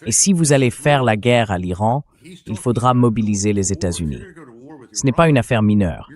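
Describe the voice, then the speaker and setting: A man with a fairly low, AI-generated voice delivers political and military commentary. He speaks as an interview guest, emphatically, in short declarative statements. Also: animated, normal speed, a little mellow. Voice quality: AI-generated translation voice.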